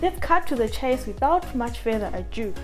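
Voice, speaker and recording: Emphatic Zimbabwean woman vlogger, higher-pitched voice. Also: animated, moderate pace, soft background music. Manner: emphatic, repeats phrases for stress. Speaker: vlogger, channel host. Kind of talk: motivational advice vlog addressed to viewers.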